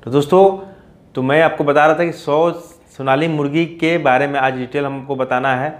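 A man's voice: fairly high pitch, fairly even intonation, normal speed. A man, hosting an informal explainer and often addressing listeners as friends. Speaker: poultry farming video host. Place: small plain indoor room.

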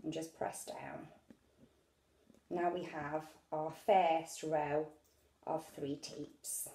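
A woman's voice: low-pitched, fairly even intonation, gravelly, warm tone, a little hiss or hum. British lady showing a craft, chatty and casual, takes her time, roomy echo. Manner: unhurried, talking while working with her hands. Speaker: craft tutorial presenter. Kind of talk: casual hands-on craft demonstration. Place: indoors at a dining-room table at home. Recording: echoey domestic room.

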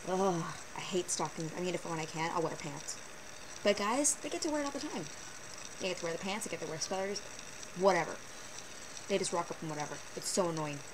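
Chatty teen girl on a noisy webcam. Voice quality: fairly low voice for a woman. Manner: chatty, animated, sarcastic asides. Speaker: vlogger. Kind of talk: casual webcam vlog. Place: small bedroom, indoors. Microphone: built-in webcam mic. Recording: noisy low-quality webcam recording.

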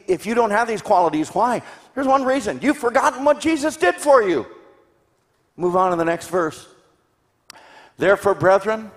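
A man in his sixties preaching a sermon to a congregation with emphatic delivery, rhetorical questions and deliberate pauses for stress. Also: on the high side. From grew up in Tanzania. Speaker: guest preacher. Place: large church sanctuary, indoors. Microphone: handheld microphone. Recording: echoey church hall sound.

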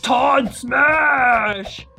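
A man's voice: extremely high pitch, drawn-out words, creaky, slightly rough, faint music behind, heavily acted. A man voicing a raging, brutish mutant strongman who talks in crude broken speech in a game roleplay. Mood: enraged. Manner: shouting in crude third person. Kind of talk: Roblox roleplay acting.

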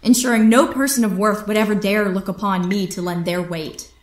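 In a roomy, echoing space, a woman acts out a defiant female captain in a radio drama. She is proud and bitter, speaking in formal, theatrical phrases at a medium pitch. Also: steady tone, fairly quick, lightly acted. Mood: bitter, resolute.

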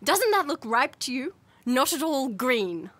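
A woman's voice: high voice, very animated, a little slow, a bit bright, heavily acted. A young woman playing a plucky, flippant princess in an audio drama, speaking in a chatty, wry, informal way. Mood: cheerful, flippant. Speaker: lead actor and narrator. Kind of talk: audio drama, first-person narration and dialogue. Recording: music under the voice.

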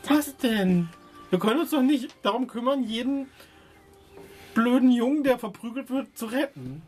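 A woman's voice: a little high, lively intonation, moderate pace, darkish tone, slightly rough, soft background music. A woman with a German accent bantering sarcastically in character during a casual tabletop role-playing game.

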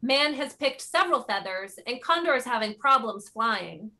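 A woman's voice: fairly high pitch, lively intonation, normal speed, bright, forceful tone. A woman reading stage directions as the narrator of a play, in scripted narration.

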